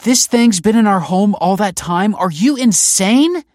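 A man's voice: on the low side, moderate pace, slightly rough, dry recording, studio quality. A man voicing an alarmed, insistent woman in a narrated story, emphatic and pleading. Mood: frightened, insistent. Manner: emphatic, pleading, stammering when frightened.

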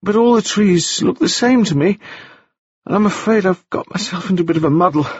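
A high, bright, feverish young gentleman. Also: expressive, a little fast, slightly rough, no echo, dull audio. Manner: prim, overly courteous, dazed. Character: young wounded English gentleman, feverish, polite.

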